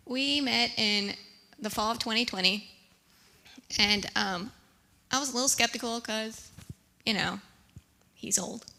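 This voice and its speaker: Chatty woman with a bright gravelly voice. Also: fairly high pitch, measured pace, long pauses. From United States. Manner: casual, chatty storytelling. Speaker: missionary. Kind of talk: church presentation. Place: church sanctuary.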